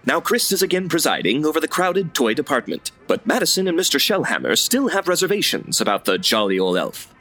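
A man's voice: on the high side, sing-song, a little fast, hardly any pauses, bright, forceful tone, faint music behind. A man giving scripted narration for a comic radio drama.

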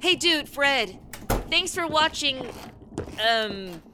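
A woman's voice: sits high, expressive, drawn-out words, noisy background, heavily acted. A woman acting a sardonic, irritable, hard-drinking publicist in a comic radio play, with slangy, sarcastic delivery. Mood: flippant.

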